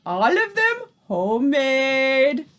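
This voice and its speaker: A woman reading aloud, voicing the tiny Grand High Witch, a furious and commanding female witch leader who shouts and speaks in odd broken grammar. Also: sing-song, slow, full character voice. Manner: shouting, shrieking, odd foreign-sounding grammar.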